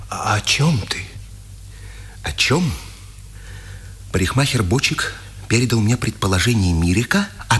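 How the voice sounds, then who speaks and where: An actor playing an impassioned, poetic imprisoned resistance fighter in a declamatory, lyrical 1950s radio drama style. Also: male voice, medium pitch, fairly bright tone. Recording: old radio recording with background noise.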